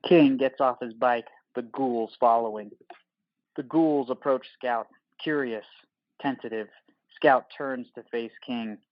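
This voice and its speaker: A man reading scripted stage directions for an audio drama as narrator, in deliberate, dramatic short phrases. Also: medium pitch, no echo, lo-fi sound.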